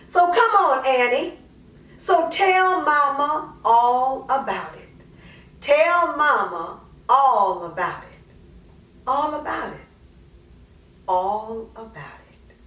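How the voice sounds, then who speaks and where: Anguished, pleading mother, high voice. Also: animated, a bit bright, exaggerated voice. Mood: mournful, bluesy. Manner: impassioned, drawn-out, pleading delivery. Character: anguished mother pleading to God, guilt-ridden, bluesy, grieving. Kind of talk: dramatic monologue reading. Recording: roomy echo, distant microphone.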